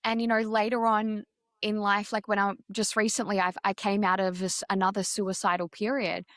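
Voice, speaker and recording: A woman's voice: mid-range voice, little pitch movement, normal speed, a bit bright, dry recording, studio quality. A woman guest in an interview, talking directly and openly about her life, with frequent fillers and restarts. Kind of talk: candid personal interview.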